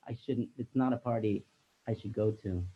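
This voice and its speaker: A man's voice: fairly low pitch, fairly even intonation, moderate pace, slightly rough. A man reflecting in a casual, rambling way in a discussion, leaning heavily on "like.". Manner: casual, rambling, heavy use of "like". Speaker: workshop participant. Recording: tinny phone-like audio.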